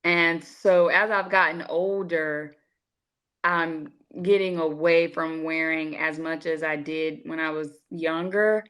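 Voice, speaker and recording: A woman from the States speaks. She is a guest in a casual interview, telling her experiences in a chatty, expressive way. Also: low voice, slow, clean tone. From southern United States. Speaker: interview guest, broadcast journalist and actress.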